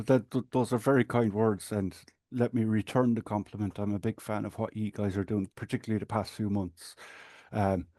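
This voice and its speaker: A man from Ireland with an Irish accent, a guest speaking in a measured, explanatory way with small restarts. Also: fairly low pitch, dark tone, dry recording, clean studio sound. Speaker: guest, support group administrator. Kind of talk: earnest conversational interview.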